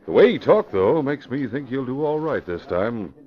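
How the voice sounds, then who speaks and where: An actor playing a genial police commissioner in a radio drama, talking in an earnest, courteous way. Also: male voice, mid-range voice, big pitch swings, normal speed, lightly acted. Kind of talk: 1940s radio acting. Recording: dull vintage radio broadcast recording.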